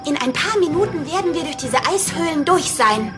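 A woman's voice: high-pitched, expressive, moderate pace. A woman voicing a cheerful, dutiful young female animal ship's officer in a children's cartoon radio play. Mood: worried. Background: music.

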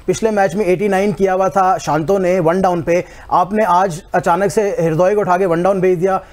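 High-voiced guy on a tv sports panel, mixing in english, pretty emphatic. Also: fairly even intonation, moderate pace, a little mellow. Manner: mixes English into Hindi-Urdu, emphatic. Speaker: cricket analyst. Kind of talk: studio cricket analysis. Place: TV studio.

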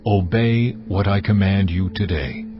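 An actor playing God in a dramatized audio Bible, speaking in a slow, measured, commanding way. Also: male voice, deep voice, noisy background. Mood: solemn. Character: God, deep solemn voice of the Lord. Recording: slightly dull audio with music bed.

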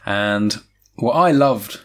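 A man's voice: lowish voice, expressive, slow pace, fairly bright tone. A British man in his twenties hosting a casual film review podcast, rambling and correcting himself as he goes. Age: 20s. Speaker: podcast host.

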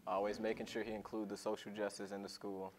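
A man's voice: lowish voice, flat delivery, fast, a little hiss or hum. A man in his twenties from St. Louis, giving a casual self-introduction on a panel in a large meeting room. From St. Louis, USA. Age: 20s. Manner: casual, thankful.

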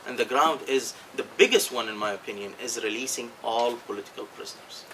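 A man's voice: mid-range voice, brisk, bright, forceful tone, far from the mic, rough recording. A man in his thirties with a Bahraini accent, speaking earnestly on a panel in a conference room, often repeating words as he searches for them. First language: Arabic. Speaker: activist panelist. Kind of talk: panel discussion remarks. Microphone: table mic. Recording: faint noise, from off mic.

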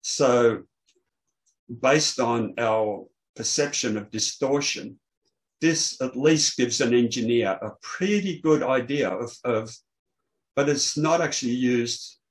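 An older man presenting technical material on a video call, explaining in a halting way. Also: medium pitch, low-quality recording. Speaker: presenter, loudspeaker engineer. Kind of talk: technical presentation to an online meeting. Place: small indoor room, online video call. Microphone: video call microphone.

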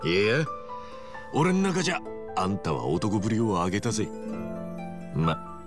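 An actor playing an earnest, good-hearted tough guy in a video game, speaking bluntly and roughly from the heart. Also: on the low side, wildly swooping pitch, unhurried, over music, exaggerated voice. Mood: earnest, grateful. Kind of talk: video game cutscene acting.

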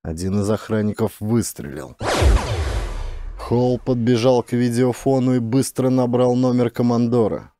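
A man's voice: mid-range voice, measured pace, mellow, slight background noise. A man reading fiction as an audiobook narrator, in a dramatic scripted style.

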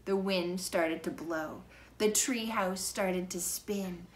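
A middle-aged woman narrating a children's book in a measured, dramatic way, recorded indoors by a camera a few feet away. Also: on the low side. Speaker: storybook reader. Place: living room, indoors. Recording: noisy living-room camera recording.

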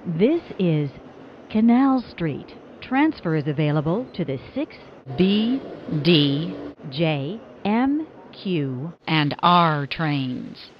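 A woman reading scripted subway announcements as a transit announcer, formally and in separate, clearly spoken phrases. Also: on the low side, big pitch swings, drawn-out words, highs cut off, a little hiss or hum. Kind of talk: scripted subway train announcement, pieced from clips.

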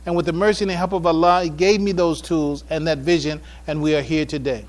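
A man giving a religious public address, halting and repeating phrases for emphasis. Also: fairly high pitch, steady tone. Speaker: speaker addressing a mosque congregation.

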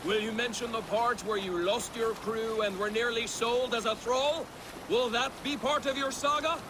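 A man's voice: very high-pitched, fairly even intonation, moderate pace. A man voicing a blunt, coarse Viking crewmate who teases and warns in a game cutscene. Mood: teasing. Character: gruff male Viking crewmate, blunt, teasing. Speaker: game character. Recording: game audio under loud sea noise.

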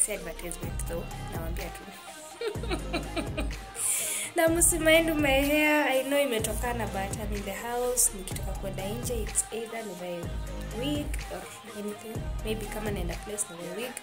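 A woman's voice: fairly high pitch, normal speed, loud music behind the voice. A young woman with a Kenyan accent, chatting casually into a phone camera in her apartment, mixing Swahili and English and laughing often. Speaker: vlogger. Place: small apartment room indoors. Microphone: phone camera at arm's length.

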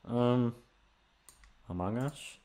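A man's voice: mid-range voice, extremely slow, mellow. A young man chatting off the cuff to his listeners, rambling and hesitant, with casual swearing. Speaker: ASMR audio creator, voice actor.

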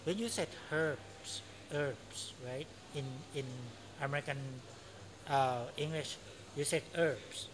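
A slow, unhurried man with a Thai accent and a slightly raised voice, pausing and searching for words as he chats. He is recorded by a camera a few feet off in a cafe, with a clear wash of room noise behind him. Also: a little high. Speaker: interviewee, English teacher. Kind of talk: casual interview conversation. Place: cafe by large windows, indoors. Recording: noisy cafe ambience.

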